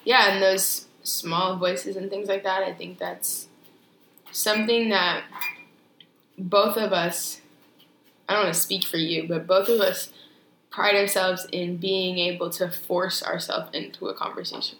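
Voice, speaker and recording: A young woman co-hosting a casual podcast, talking thoughtfully and measured, often pausing to rephrase. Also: on the low side, steady tone, a bit bright. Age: young adult. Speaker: podcast co-host. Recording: echoey room with faint noise.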